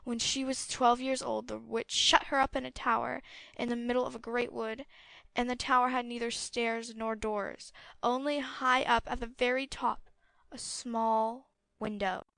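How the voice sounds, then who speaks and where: A woman narrating a fairy tale as an audiobook, with a clear storytelling delivery. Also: a little high, level tone, measured pace, a bit bright, no room sound.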